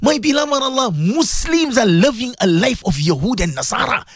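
A man's voice: extremely high pitch, very animated, moderate pace, fairly bright tone, no echo, studio quality. A South African man preaching an impassioned supplication, pleading and emotional, repeating his phrases. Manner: pleading, emotional, repetitive, often rising to a cry. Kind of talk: impassioned religious supplication sermon.

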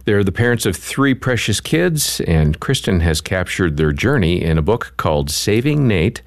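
An American man with a deep voice, recorded very dry. He works as a radio co-host, introducing guests in a smooth-flowing, conversational presenting style. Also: big pitch swings, normal speed, no echo.

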